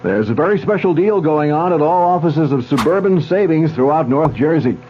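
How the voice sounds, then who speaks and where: A man's voice: a little high, lively intonation, brisk, a little hiss or hum. A man announcing commercials in an upbeat, salesy way. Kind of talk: scripted ad announcing. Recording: muffled old radio broadcast.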